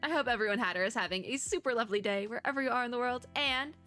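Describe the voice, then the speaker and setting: A woman's voice: a little high, very fast, over music. A woman rambling casually as a YouTuber giving reaction commentary, chatty and often interrupting herself mid-thought. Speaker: YouTuber host.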